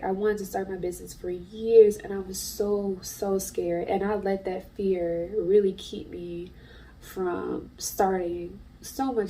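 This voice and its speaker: A woman's voice: mid-range voice, warm tone, recorded from a distance, rough recording. A congested woman, an artist and small business owner, talking in a chatty, earnest way to camera in a casual vlog. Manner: chatty and earnest, many fillers. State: congested from allergies.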